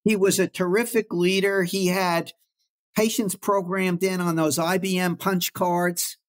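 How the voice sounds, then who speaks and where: An older man from Brooklyn recalls his working life as an interview guest. His voice sits a little high, and he speaks in an anecdotal, reflective way. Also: flat delivery, unhurried. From Brooklyn, New York. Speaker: guest oncologist. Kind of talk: storytelling in an interview.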